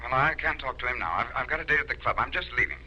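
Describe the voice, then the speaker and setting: Sharp, hurried official on intercom. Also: male voice, mid-range voice, animated, breathy edge, heavily acted. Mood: impatient. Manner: hurried, dismissive. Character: curt, impatient male governor's secretary. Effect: intercom filter. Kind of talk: old-time radio drama acting. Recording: vintage radio, tinny intercom.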